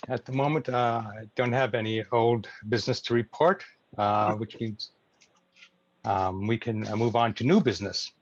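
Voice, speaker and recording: Low-voiced man, halting, muffled call audio. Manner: halting, hesitant delivery. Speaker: club meeting chair. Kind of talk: informal meeting facilitation. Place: remote video meeting.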